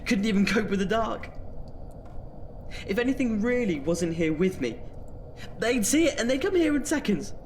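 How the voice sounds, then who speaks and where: An actor playing an anxious young British student in an audio drama, nervously talking himself through his fear of the dark. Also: high-pitched, lively intonation, normal speed, long pauses, slight background noise. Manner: jittery, muttering self-talk with sighs. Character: anxious student afraid of the dark, self-scolding.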